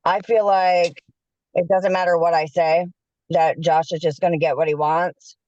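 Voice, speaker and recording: A woman's voice: fairly low pitch, flat delivery, normal speed, darkish tone, dull audio, a little hiss or hum. A woman in her thirties answering plainly and informally in a hearing, on a video call from a car. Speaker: mother, party in a custody hearing.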